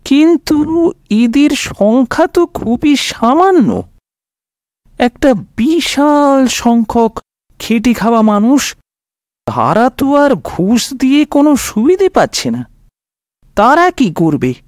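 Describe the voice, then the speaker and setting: Man voicing a high-pitched, questioning Bengali sidekick. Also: very animated, slow pace, darkish tone, dry recording, clean studio sound, exaggerated voice. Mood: doubtful. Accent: Indian Bengali.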